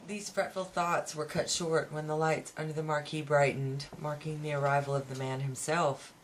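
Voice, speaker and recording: A man's voice: fairly high pitch, level tone, moderate pace, poor audio. A man reading a novel aloud as an amateur, now and then tripping on a word and restarting, on a home recording. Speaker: amateur audiobook reader. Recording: home recording with faint background noise.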